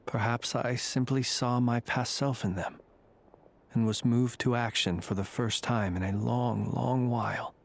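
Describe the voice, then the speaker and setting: An actor playing a calm, ancient, world-weary fae warrior in a video game, with measured, formal, reflective phrasing. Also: male voice, mid-range voice, gravelly. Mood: grave, measured.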